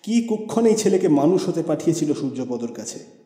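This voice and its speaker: A man's voice: on the high side, sing-song, brisk, dark tone. A man reading a short story aloud as dramatic scripted narration. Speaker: audio story narrator and reader. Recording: echoey reverberant room with music bed. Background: background music.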